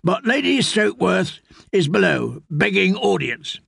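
Guy doing a jittery guard, lots of ums, kinda higher voice. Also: big pitch swings, measured pace, vocal fry, a bit bright, breathy edge, no echo, studio quality, exaggerated voice. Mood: hesitant. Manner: hesitant, deferential. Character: nervous male castle guardsman.

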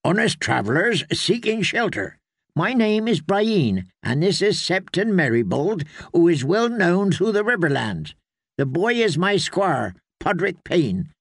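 A man narrating acts an earnest warrior woman from a storybook. The voice is lifted in pitch and recorded dry. The warrior speaks formally and courteously. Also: big pitch swings, unhurried, gravelly, husky, no room sound, full character voice.

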